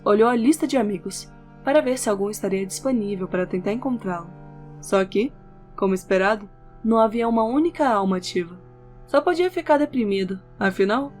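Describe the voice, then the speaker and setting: A woman's voice: medium pitch, darkish tone. A woman reading scripted narration for an audio novel as its narrator. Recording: background music under the voice. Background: instrumental music with guitar.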